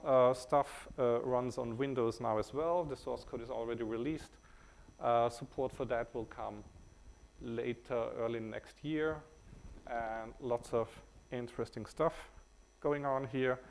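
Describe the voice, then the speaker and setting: German accent guy presenting at a tech conference, mid pitch, keeps going uh and um. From Austria. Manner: halting, frequent hesitations and restarts. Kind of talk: technical conference talk.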